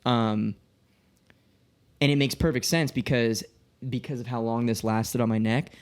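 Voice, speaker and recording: A man's voice: medium pitch, steady tone, unhurried, clear, resonant tone. A man in his late twenties chatting casually as a podcast co-host, telling personal anecdotes and acting out quoted dialogue, with frequent swearing. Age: late 20s.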